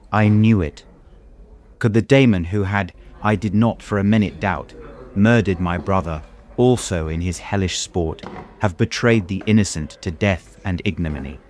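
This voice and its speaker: Low-voiced, grave gentleman narrator, played by a man. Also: natural voice. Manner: literary, formal, grave. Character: guilt-stricken gentleman recounting his torment. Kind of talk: scripted audiobook narration.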